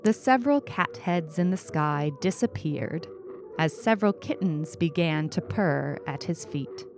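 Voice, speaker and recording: A woman reads fiction aloud in a scripted narration style. Her voice sits a little low and she takes her time. Faint music accompanies the reading. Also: animated, a little slow. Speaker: story reader.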